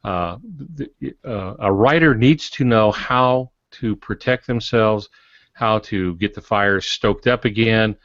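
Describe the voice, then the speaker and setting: Male writer on a podcast, low register, reflective and halting conversational delivery, muffled audio. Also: a bit bright. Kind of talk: conversational explanation with anecdotes. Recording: dull, echoey room recording.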